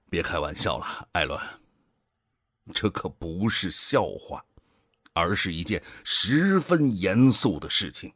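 Man acting a deep, deliberate, grave station director. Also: very animated, fairly bright tone, dry recording, clean studio sound, full character voice. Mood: earnest persuasion. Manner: earnest, deliberate, cautioning. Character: grave, caring older male director of a space station. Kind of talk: science fiction radio drama acting.

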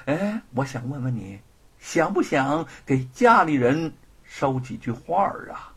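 A man acts out a greedy jailer in a storybook tale. The voice is rough and high, leaping about in pitch. He wheedles in folksy speech and stammers when flustered. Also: sing-song, unhurried, fairly bright tone, full character voice. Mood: wheedling. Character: greedy, jumpy male prison jailer, easily exasperated.

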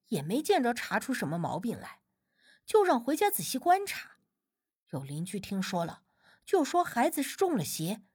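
Easygoing middle-aged mom for an audiobook woman reader: familiar, matter-of-fact, husky, dropped below narration pitch. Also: dry recording, studio quality. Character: warm, easygoing middle-aged mother, neighborly.

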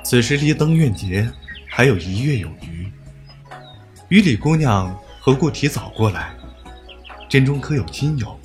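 Man as a courteous, expressive young scholar. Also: medium pitch, a little slow, pauses often, soft background music, lightly acted. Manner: formal, literary, amused. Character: courteous, gentle young scholar of old times. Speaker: voice actor. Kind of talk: period audio drama acting.

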